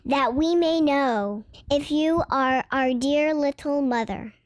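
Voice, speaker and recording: A woman's voice: high-pitched, takes it slowly, no echo, clean studio sound. A woman voicing wary, dutiful little goat kids in a storybook, childlike and earnest. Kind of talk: storybook character voice.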